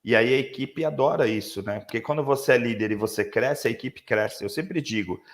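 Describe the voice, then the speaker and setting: Man, Brazilian Portuguese accent, hoarse voice, reflective webinar speaker, computer mic. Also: medium pitch, brisk. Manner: reflective, lots of tag questions. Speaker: leadership speaker. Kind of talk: live webinar talk.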